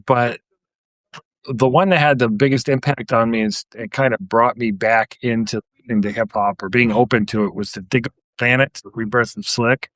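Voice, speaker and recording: A man's voice: mid-range voice, fairly even intonation, moderate pace, fairly bright tone, no echo, rough recording. Man chatting casually in a dry recording. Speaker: podcast co-host. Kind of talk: casual reminiscing about music.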